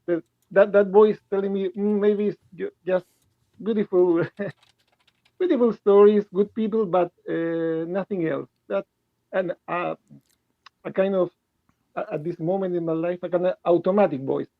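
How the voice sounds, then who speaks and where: Man, Chilean Spanish accent, caller, hesitant, restarts, high gravelly voice, phone-like line. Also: fairly even intonation, unhurried, dry recording. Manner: hesitant, many restarts and nervous laughs. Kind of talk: halting call-in question. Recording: tinny phone-like call line.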